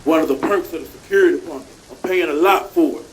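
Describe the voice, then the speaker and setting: A man's voice: fairly high pitch, fast, natural voice, rough recording. A man playing a blustery, suspicious restaurant boss in a radio play, with folksy, bossy, colloquial speech. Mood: insistent, scolding.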